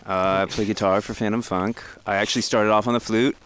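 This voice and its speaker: A young guitarist from Tampa answering questions shyly, with lots of hesitant fillers. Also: male voice, fairly low pitch, steady tone, a little fast, fairly bright tone. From Tampa, Florida. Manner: shy, hesitant, with many fillers. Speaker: guitarist in a band. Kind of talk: casual interview chat. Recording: faint static in the background.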